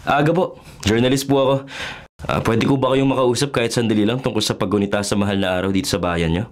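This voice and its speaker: A man's voice: fairly low pitch, fairly even intonation, quick pace, lightly acted. A man acting in a Filipino radio drama as a polite, inquisitive young journalist, courteous and tentative in a conversational way. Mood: polite, curious. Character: polite, inquisitive male journalist visiting a small town.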